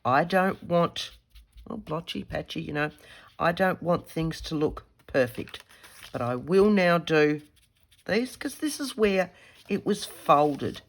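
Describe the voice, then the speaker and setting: An Australian woman with a croaky head-cold voice, chatting casually as she demonstrates a craft at her desk, thinking aloud and correcting her own words. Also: low voice, lively intonation, slow. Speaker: craft tutorial host.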